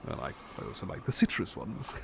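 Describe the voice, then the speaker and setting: A man playing a doting, cheeky butler in a gentle ASMR roleplay, repeating himself soothingly and often slipping toward a whisper. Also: sits low, huge pitch swings, a little fast, gravelly, background noise, exaggerated voice. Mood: gentle, attentive. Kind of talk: ASMR personal attention roleplay.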